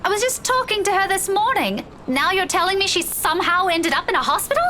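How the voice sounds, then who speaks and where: A woman's voice: extremely high pitch, expressive, fast talker, pushed and bright, a little hiss or hum, full character voice. A woman voicing an impatient, anxious young woman in a visual novel game. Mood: impatient, alarmed. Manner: exclamatory, urgent.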